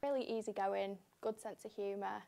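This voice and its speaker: A woman's voice: mid-range voice, steady tone, moderate pace, vocal fry, mellow. A young woman in her twenties from near Liverpool, friendly and teasing on a cinema date. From near Liverpool, England. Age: 20s. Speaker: dating show contestant. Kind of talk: casual chat. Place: dimly lit cinema auditorium.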